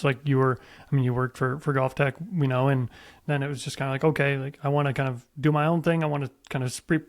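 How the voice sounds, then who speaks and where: A man's voice: medium pitch, darkish tone. A man hosting a podcast, chatting casually as he interviews a guest about a sport. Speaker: podcast host.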